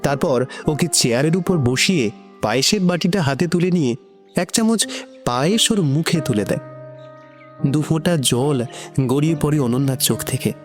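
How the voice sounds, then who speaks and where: A man's voice: on the high side, expressive, moderate pace, soft background music. A man narrating an emotional romantic story from a script.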